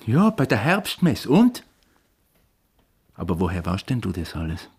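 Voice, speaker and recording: A man's voice: lowish voice, wildly swooping pitch, measured pace, a little mellow, slightly rough, heavily acted. An actor in a radio play voicing a weary, evasive married man who is cynical about love, dismissive and brooding. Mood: defensive. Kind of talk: German-language radio play acting.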